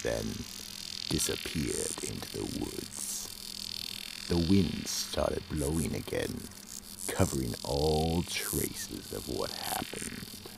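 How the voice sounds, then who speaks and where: A man playing a grave, ominous horror radio narrator, reading scripted drama in a very deep, gravelly, measured voice. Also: background noise, heavily acted.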